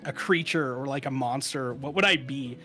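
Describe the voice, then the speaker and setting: A man's voice: medium pitch, normal speed, bright, forceful tone, soft background music. A young man chatting as a guest on an online interview show, giggling often and rambling with many fillers. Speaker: VTuber guest. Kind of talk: casual interview chat.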